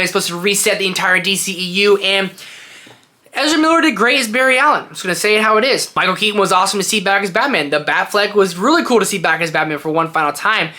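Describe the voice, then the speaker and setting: Male review host: bright, punchy voice, casual and opinionated, loose rambling delivery with emphasis. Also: high-pitched. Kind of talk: casual opinionated movie ranking to camera.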